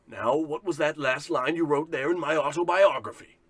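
High, bombastic, pompous tycoon. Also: male voice, moderate pace, husky, dry recording. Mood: boastful, scornful. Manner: bombastic, self-important. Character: pompous boastful male industrial tycoon, bullying boss. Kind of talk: parody of old radio drama acting.

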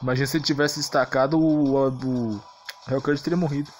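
Brazilian gamer chatting casually over a match, medium pitch, grumbly and slangy, faint music behind. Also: fairly even intonation, brisk, darkish tone. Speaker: gamer, video creator. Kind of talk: casual gameplay commentary.

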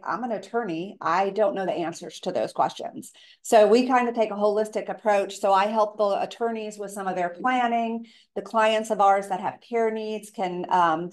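Female seminar presenter, mid-pitched, raspy from a lingering cold, casual and chatty. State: tail end of a cold. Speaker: presenter, elder care coordinator.

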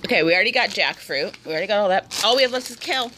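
Woman, parent, home cooking video, patient, encouraging, step-by-step instructions, mid-pitched voice, faint background hiss. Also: animated, very fast. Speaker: parent cooking with her child. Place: indoor home room at a table.

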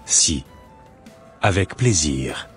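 A man's voice: a bass voice, very animated, very slow, soft background music, exaggerated voice. A man voicing an obliging young businessman in a cartoon. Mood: friendly. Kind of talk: scripted cartoon dialogue.

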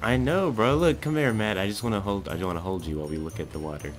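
A young man bantering casually with friends during gameplay, excitable, slangy and quick to swear. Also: on the low side, faint music behind. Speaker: gaming video creator.

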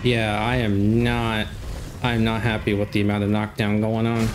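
A young man with a stuffed-up nose giving casual, jokey commentary while playing a game, breaking into excited outbursts. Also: fairly low pitch, moderate pace, noisy background. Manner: chatty, jokey, excitable outbursts during fights. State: stuffed up from a cold. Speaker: gameplay commentator. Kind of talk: casual let's play commentary. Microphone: desk microphone.

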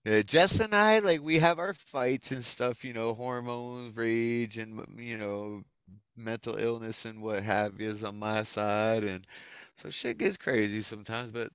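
Open mic host: American man, thirties, effusive and slangy, mid-pitched, slightly dull video call sound. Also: unhurried, a bit bright, smooth, no echo. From Florida, United States. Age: 30s. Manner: effusive, slangy, swears freely. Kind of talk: casual profane chat on a video call. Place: indoors at a computer, video call. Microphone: headset.

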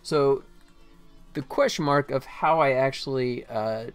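An American man in his forties chatting casually and haltingly as he livestreams game development, thinking aloud. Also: medium pitch, clean tone, soft background music. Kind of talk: casual chat while working.

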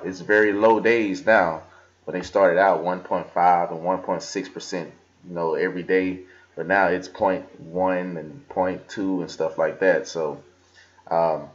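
A young man casually talking through a screen recording, with many fillers and restarts as he scrolls and reads aloud. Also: low voice. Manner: casual, filler-heavy, talks while scrolling and reading aloud. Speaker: crypto vlogger. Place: indoors at a desk. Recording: slightly dull lo-fi computer audio.

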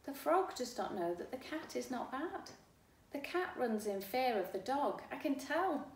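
A middle-aged woman reading a children's book aloud in an expressive, deliberate, rhythmic way. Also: sits high, far from the mic. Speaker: storyteller. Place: living room at home. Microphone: camera a few feet away. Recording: echoey room, faint background noise.

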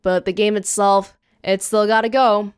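A woman's voice: medium pitch, flat delivery, normal speed, clear, resonant tone. A woman giving casual commentary as the host of a video game let's play.

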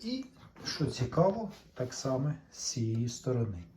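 A man hosts a casual hands-on video. His voice is mellow and mid-pitched. He talks directly to viewers and thinks aloud as he handles things. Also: very animated, measured pace, a little hiss or hum. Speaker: host of a tape recorder restoration video.

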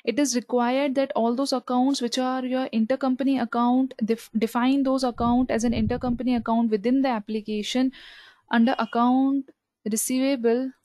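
A woman software trainer narrating a step-by-step screen tutorial in an instructional way, repeating key points. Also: on the high side, flat delivery, moderate pace, dry recording.

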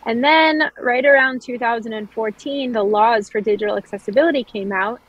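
A woman's voice: on the high side, moderate pace, fairly bright tone, highs cut off. A woman in her thirties from Israel, a startup founder telling her story in an interview over a video call, fluent with frequent ums and restarts. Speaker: startup founder and CEO, guest.

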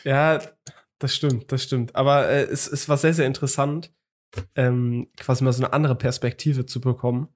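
A man's voice: mid-range voice, steady tone, normal speed. Young male co-host, hungover, rambling story-teller full of ums and restarts. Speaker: podcast co-host. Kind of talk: casual chat.

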